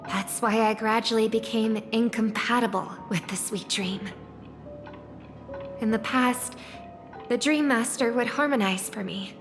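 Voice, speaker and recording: An actress voicing a poised, graceful young singer in a video game, speaking in a measured, formal and polite way. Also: female voice, a little high. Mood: reflective. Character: poised, graceful young female singer, gentle but discerning. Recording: music behind the voice.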